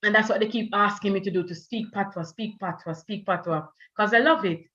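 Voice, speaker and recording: A woman with a Jamaican accent moderates an online panel, pausing often on "you know" as she talks. Her voice comes over a video call and sounds a little muffled and dull. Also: medium pitch. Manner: warm, with many "you know" fillers. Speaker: event host.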